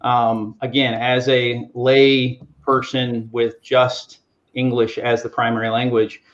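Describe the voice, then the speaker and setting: A man on a video call explaining a software tool in a halting, step-by-step way. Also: medium pitch, flat delivery. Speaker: panelist, software coach. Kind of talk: casual explanation. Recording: dull lo-fi call audio.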